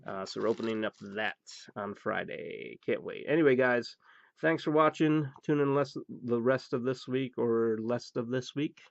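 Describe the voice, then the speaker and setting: Hoarse man rambling through card openings. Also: medium pitch, normal speed, dry recording. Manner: rambling, many "uh" fillers, blunt and crude. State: losing his voice. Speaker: pack-opening show host. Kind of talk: casual card-opening commentary. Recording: dull, dry recording.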